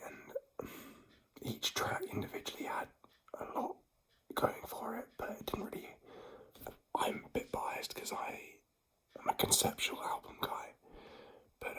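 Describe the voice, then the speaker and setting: A man's voice: mid-range voice, pushed and bright, breathy edge, rough recording. Vlogger, male, voice gone, whispers throughout with an American-sounding lilt, chatty and rambling, in a home. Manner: whispered, rambling with many fillers. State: lost voice, whispering. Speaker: vlogger and singer-songwriter. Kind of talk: casual vlog chat. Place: in a home, indoors.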